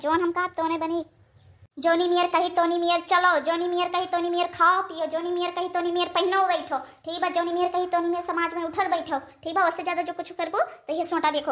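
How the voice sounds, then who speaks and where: A woman's voice: very high-pitched, fairly bright tone. A woman voicing a bossy, scolding old village mother-in-law with an Awadhi accent in a cartoon, hectoring with repeated demands. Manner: hectoring, repeating tags as threats. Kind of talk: cartoon dialogue.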